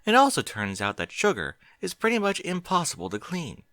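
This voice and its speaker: A man's voice: sits high, huge pitch swings, fairly quick, bright, forceful tone, no echo, pitched above the performer's own voice, heavily acted. A man voicing a fretful, bookish young pony mare, anxious and easily flustered. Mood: weary.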